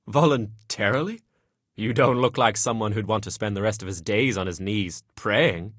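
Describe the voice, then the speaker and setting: A man's voice: lowish voice, moderate pace, dry recording, studio quality, lightly acted. A man playing a lusty, self-pitying young nobleman in a video game, complaining dramatically in coarse, colloquial speech. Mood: wary, conspiratorial. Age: young adult. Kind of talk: video game character dialogue.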